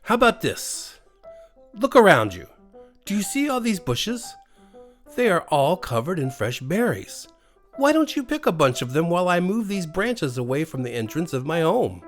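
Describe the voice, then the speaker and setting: Tiny sly storybook creature, really high voice, excitable n fussy, says stuff twice, music behind. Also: male voice, normal speed. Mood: eager, helpful. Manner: fussy, exclamatory, repeats himself. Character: small, excitable, sly male creature named Teddy. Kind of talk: storybook character voice.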